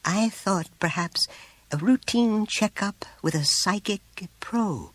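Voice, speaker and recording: A man's voice: medium pitch, very animated, unhurried, breathy edge, no room sound, pitched above the performer's own voice. A man voicing an anxious, polite woman schoolteacher with a hesitant, delicate and apologetic manner. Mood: flustered, apologetic.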